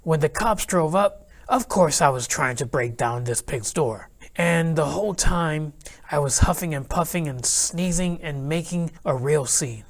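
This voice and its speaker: A man's voice: fairly high pitch, measured pace. A man reading a children's picture book aloud in the first person as the wolf, chatty and playfully aggrieved. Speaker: children's read-aloud host narrating as the wolf. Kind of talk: expressive storybook reading.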